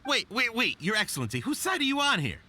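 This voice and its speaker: An actor playing a brash, smug merchant in a game, talking in a mocking, blustering way. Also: male voice, very high-pitched, huge pitch swings, fast, bright, forceful tone, breathy edge, full character voice. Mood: protesting.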